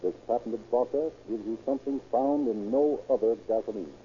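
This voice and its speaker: A man's voice: medium pitch, moderate pace. A man announcing scripted sponsor copy in 1930s radio style. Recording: tinny, noisy vintage radio recording.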